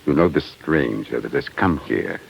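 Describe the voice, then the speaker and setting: An actor playing a sly prison commandant of Tsarist Russia in 1940s radio drama, gravelly and unctuous, speaking with deliberate insinuation. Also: male voice, rumbling low, expressive, muffled recording, heavily acted. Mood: sly, conspiratorial. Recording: noisy old 1940s radio recording.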